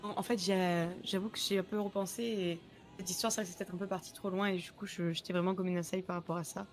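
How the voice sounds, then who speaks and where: A woman's voice: lowish voice, fairly even intonation, fast talker, natural voice. A woman improvising as a chatty, reassuring friend in casual roleplay game conversation. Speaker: roleplayer in an online game server.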